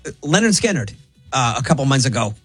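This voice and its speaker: A man hosting a radio show, reading listener texts and rambling with halting restarts. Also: medium pitch, big pitch swings, fairly bright tone, slightly rough, faint music behind. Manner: rambling, halting, lots of fillers. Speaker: radio host. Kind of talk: casual radio chat.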